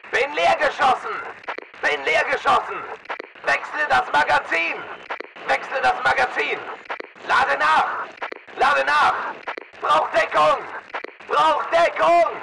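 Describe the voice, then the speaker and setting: An actor playing a tense, hard-edged soldier in a video game, giving clipped military combat calls. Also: male voice, very high-pitched, steady tone, slow pace, vocal fry, long pauses, distant mic, very noisy, full character voice. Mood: urgent, commanding.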